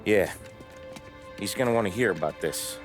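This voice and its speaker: An actor playing a steely, protective American mob lieutenant, controlled and quietly intimidating. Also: male voice, fairly low pitch, lively intonation, moderate pace, lightly acted. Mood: matter-of-fact. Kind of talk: dramatic game cutscene acting. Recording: music behind the dialogue.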